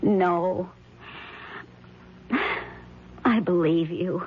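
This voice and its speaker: An actress playing a suspicious but quietly determined wife in an old-time radio drama, speaking earnestly and hesitantly. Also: female voice, on the low side, slow. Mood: anxious, resigned. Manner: earnest, hesitant, repeating phrases. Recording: dull, noisy old radio recording.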